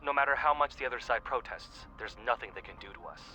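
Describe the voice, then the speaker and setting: A man's voice: medium pitch, fast, bright, forceful tone, faint music behind. An actor playing a formal, businesslike male lawyer heard through a phone call filter in a video game. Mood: businesslike, assured. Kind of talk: English video game voice acting.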